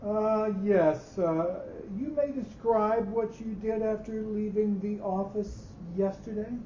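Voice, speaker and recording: A man playing an elderly, hesitant and fussy company boss, halting and formal, in a staged dramatic reading. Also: high voice, fairly even intonation, dark tone. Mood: grave, awkward. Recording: roomy, muffled live recording with hiss.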